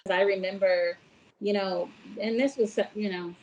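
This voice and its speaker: A woman from Brooklyn speaks from home in a measured, articulate manner, using a few soft fillers. Her voice sits at a middle pitch for a woman. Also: steady tone, lo-fi sound. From Brooklyn, New York. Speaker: food bank director. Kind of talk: interview answers.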